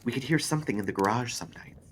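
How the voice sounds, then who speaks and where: A man's voice: medium pitch, racing, fairly bright tone, a little hiss or hum, pitched above the performer's own voice, natural voice. A man voicing a nervous widowed mother, confiding and insistent, in a horror story. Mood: confiding, uneasy. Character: nervous widowed mother sharing a spooky memory. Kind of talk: audiobook-style character voice in a horror story.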